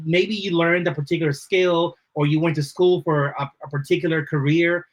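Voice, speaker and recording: A man's voice: on the high side, a bit bright. A man from the Dominican Republic, a native Spanish speaker with a Dominican accent, answering interview questions thoughtfully and often checking in with "right?". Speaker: podcast guest, creative leader.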